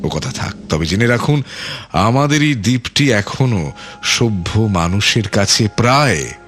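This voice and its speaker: An actor playing a controlling middle-aged island overlord in a radio play, deliberate and courteous with menace, repeating phrases with relish. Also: male voice, lowish voice, lively intonation, fairly bright tone, soft background music. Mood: guarded, lordly.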